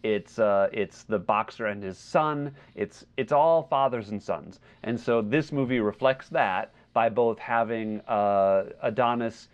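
Halting man on slightly dull audio. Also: on the low side, clear, resonant tone. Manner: halting, searching for words. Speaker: podcast co-host. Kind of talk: casual film discussion.

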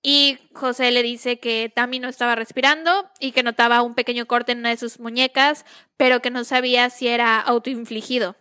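Bright-voiced Mexican woman telling a story. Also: a little high, fairly even intonation, moderate pace, clear, resonant tone. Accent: Mexican Spanish. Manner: chatty, slangy, laughs between lines. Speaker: podcast co-host. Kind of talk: casual true-crime storytelling.

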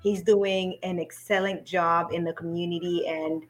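A woman's voice: fairly low pitch, flat delivery, normal speed, soft background music. A middle-aged woman from Haiti, a guest on a podcast who talks about herself in a hesitant way, with many ums and frequent laughs. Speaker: podcast guest, business coach. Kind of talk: casual podcast interview.

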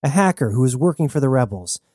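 Reckless heroine, bold and persuasive, lightly lifted pitch; narrator's take. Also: female voice, animated, quick pace, dark tone, husky, no room sound, clean studio sound, full character voice. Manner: brash, confident. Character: bold reckless young woman adventurer.

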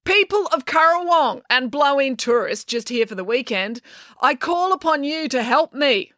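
Storybook role: combative, sarcastic young girl played by a woman narrator, raised pitch, swooping delivery, close dry sound. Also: lively intonation, normal speed, dry recording. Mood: dramatic, urgent. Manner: loud, declamatory, argumentative.

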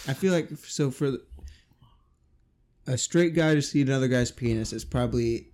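Young man with a blunt, mellow voice. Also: medium pitch, normal speed. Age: 20s. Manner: blunt, swearing. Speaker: podcast co-host. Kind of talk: casual chat.

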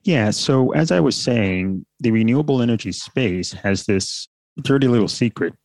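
A man being interviewed as a company founder, explaining in a measured way with some light fillers. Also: medium pitch, lively intonation, darkish tone, no room sound, clean studio sound.

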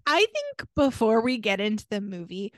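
A woman with an American accent chats casually as a podcast co-host. Her voice is middling in pitch, and she tells stories in a wry, chatty way. The sound is very dry, with little room to it. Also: huge pitch swings, normal speed, no room sound. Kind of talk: casual chat.

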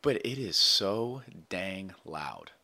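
Young male podcast host, playful and teasing, bright, lively delivery. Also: low-pitched, slow, gravelly. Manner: playful, teasing, laughs often. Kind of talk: casual banter with co-host.

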